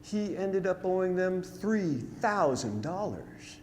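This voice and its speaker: A man's voice: high voice, big pitch swings, slow, a little mellow. Man reciting folksy verse in reverberant hall. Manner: dramatic, folksy, emphatic delivery. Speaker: storyteller performing narrative poem. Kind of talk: rhymed theatrical storytelling ballad recitation. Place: on stage in a theatre. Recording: hall reverb, noisy live theatre recording.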